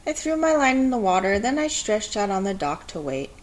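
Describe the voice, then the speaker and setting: A woman reading a children's story aloud in an expressive, measured storytelling manner. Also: mid-range voice, poor audio. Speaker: storybook reader.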